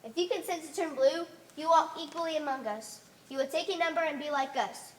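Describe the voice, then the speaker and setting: Woman playing high voiced holy leader of a cult, stern n bossy, stage play, formal solemn lines. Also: a little fast, a little hiss or hum, close to an everyday voice. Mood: solemn, threatening. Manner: formal, authoritative, solemn declamation. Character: stern, commanding cult leader of blue people, addressed as Holy One. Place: theatre stage. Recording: live stage recording.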